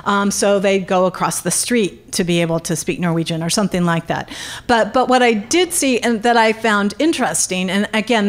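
A middle-aged woman giving a public history lecture at a podium microphone in a conference room, animated, with many fillers and restarts. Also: medium pitch. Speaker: guest lecturer. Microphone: podium microphone on stand.

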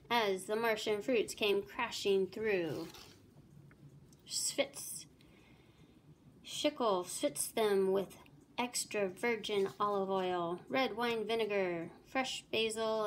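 A woman with a medium-pitched voice narrates a children's picture book expressively, in the way of a teacher reading aloud. Clear noise runs behind her.

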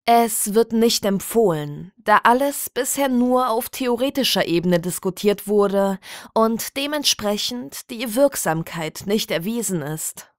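Slow, formal deadpan woman narrator. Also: mid-range voice, dry recording, clean studio sound. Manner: formal, measured, deadpan bureaucratic delivery. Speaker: narrator of a satirical audio story. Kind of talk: scripted reading of a mock official public notice.

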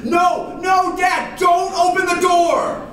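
A man's voice: very high-pitched, level tone, takes it slowly, a bit bright, pitched above the performer's own voice, exaggerated voice. A man voicing a frightened little boy who pleads and shrieks in a horror storybook reading. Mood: terrified. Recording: roomy, noisy hall, distant mic.

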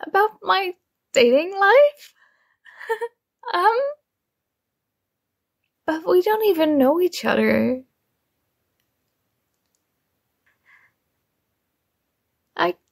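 A woman's voice: high-pitched, exaggerated voice. A woman playing a shy, nerdy young student flustered by her crush in an ASMR roleplay, speaking hesitantly and awkwardly. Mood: flustered, nervous.

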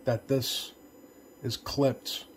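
Casting: male repair tech, mid-pitched, casual and hesitant hands-on demo, faint noise behind him. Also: level tone, extremely slow. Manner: hesitant, unscripted, plain-spoken. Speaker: CB radio repair technician. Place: indoor home electronics workbench. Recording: noticeable background noise, workbench room.

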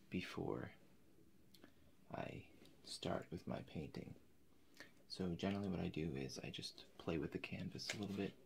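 A man in his twenties in a soft-spoken ASMR roleplay as an eccentric, polite painter, halting and slipping into whispers. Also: deep voice, creaky, slight background noise. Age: 20s. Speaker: ASMR performer. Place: indoors in front of a forest backdrop.

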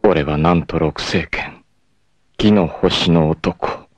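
An actor playing a proud, doomed martial-arts warrior in an anime, tender and self-sacrificing, with solemn, theatrical delivery. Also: male voice, low-pitched, slow, heavy background noise. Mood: serene, resigned. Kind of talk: dramatic anime acting, monologue and narration.

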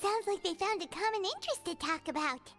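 A woman voicing a tiny, excitable companion creature in a game, chirpy and talking about herself by name. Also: extremely high pitch, a little fast, soft background music, heavily acted.

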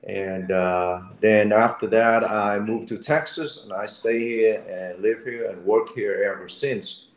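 A man's voice: lowish voice, steady tone. Tired man from Vietnam, roomy echo. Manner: friendly, halting with fillers. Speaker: guest, actor and fight choreographer. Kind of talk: interview answers over video call. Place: room at home. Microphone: video call webcam.

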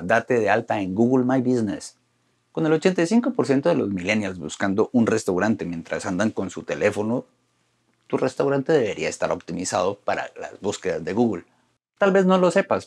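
A middle-aged man with a low, gravelly, slightly husky voice walks through a marketing lesson straight to camera. He speaks with wide swings in pitch and gestures freely, punching each new point. The sound is a clean studio take with a hidden mic. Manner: lively, gesturing presenter with rising stresses on list points. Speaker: marketing presenter. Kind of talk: explainer video to camera. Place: studio in front of a green backdrop.

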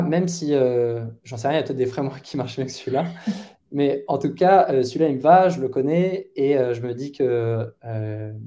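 A man in his thirties speaks over a headset on a video call from his bedroom. His voice is very dark and mellow. He answers at an easy conversational pace, hesitating and restarting as he thinks. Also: mid-range voice. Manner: thoughtful, hesitant with many fillers and restarts. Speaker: guest product manager. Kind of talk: casual interview answers. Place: bedroom at home.

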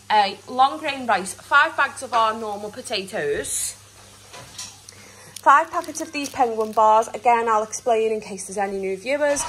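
A woman's voice: fairly high pitch, slight background noise, rough recording. A British woman in her thirties chatting through a family grocery haul at home, rambling and laughing often while filming on a handheld phone. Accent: British English. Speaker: vlogger. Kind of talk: casual grocery haul vlog. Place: home kitchen, indoors. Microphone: handheld phone camera.

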